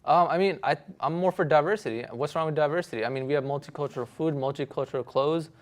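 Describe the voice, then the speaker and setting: Brief: young male guest, somewhat high voice, keeps pressing the same questions. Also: fast. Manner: persistent questioning, repeats himself. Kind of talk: debate on a talk show.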